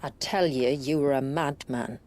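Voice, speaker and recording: A woman playing a resolute, solemn village leader in a video game, speaking in a measured, formal way. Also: a bass voice, darkish tone. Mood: resolute, wary. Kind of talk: video game cutscene acting. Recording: music behind the voice.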